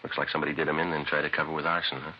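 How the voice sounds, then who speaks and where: Businesslike detective for vintage radio crime drama: very low, brisk, clipped delivery, noisy dated recording. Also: male voice, level tone, highs cut off. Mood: matter-of-fact. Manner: clipped, matter-of-fact. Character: terse, businesslike male police homicide detective and narrator.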